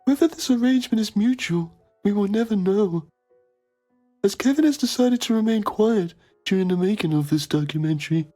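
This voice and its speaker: A man's voice: high voice, expressive, moderate pace, mellow, faint music behind. A man playing a pompous TV journalist, narrating a mock documentary in a solemn, melodramatic manner. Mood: grave, pompous.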